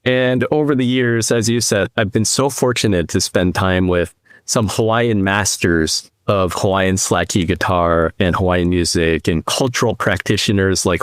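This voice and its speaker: A man in his fifties from the US East Coast, a podcast guest and financial advisor, telling his story in a measured, reflective way. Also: on the low side, smooth, no echo, studio quality. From New Jersey, US East Coast. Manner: measured, reflective, speaks in long explanatory runs. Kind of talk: conversational interview storytelling.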